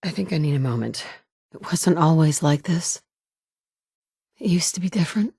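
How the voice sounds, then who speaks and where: An actress voicing the player heroine of a wasteland game, delivering short, clipped, matter-of-fact lines. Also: female voice, low-pitched, lively intonation, moderate pace, vocal fry, mellow, slightly rough, no echo, clean studio sound, exaggerated voice. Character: woman survivor, player hero of a wasteland game. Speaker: player character.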